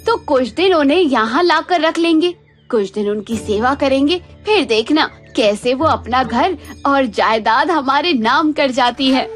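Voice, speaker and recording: A woman's voice: high-pitched, lively intonation, measured pace, soft background music. A woman voicing a greedy, scheming young daughter-in-law in a Hindi cartoon story, sly and emphatic.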